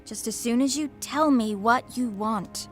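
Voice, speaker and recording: Woman playing blunt firm nurse in a radio play, voice on the high side, music under. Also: a little slow, natural voice. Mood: stern, insistent. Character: firm, blunt female nurse confronting a drinker. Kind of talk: radio drama acting.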